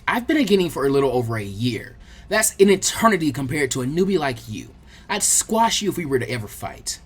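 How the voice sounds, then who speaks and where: Teenage kunoichi for audio story, played by a narrator: self-assured, mocking, lively intonation. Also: mid-range voice, brisk, slightly rough, pitched below the performer's own voice. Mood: amused taunting. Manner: taunting, amused, condescending. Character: teasing, confident teenage kunoichi girl, condescending. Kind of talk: expressive fan fiction character reading.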